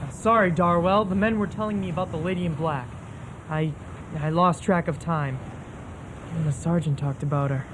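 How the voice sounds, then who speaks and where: Actor as jittery young soldier, noisy recording. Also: male voice, on the high side, fairly even intonation, moderate pace, close to an everyday voice. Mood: sheepish. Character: frightened young Civil War soldier, private on night watch. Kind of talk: radio drama acting.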